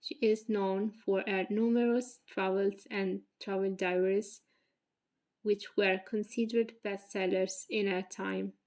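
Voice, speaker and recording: A young woman in her twenties with an Italian accent, reading a prepared presentation as a graduate student, careful and measured with small slips. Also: medium pitch, little pitch movement, a little mellow.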